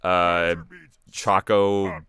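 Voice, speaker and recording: A man's voice: low-pitched, little pitch movement, extremely slow, bright, forceful tone. A young man giving casual, rambling commentary as an animator and voice actor, chatty and easily amused.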